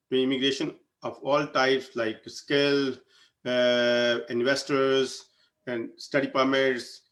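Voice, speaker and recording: An older man introducing himself as a company director in brisk, plain phrases. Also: mid-range voice, a bit bright. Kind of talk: self-introduction.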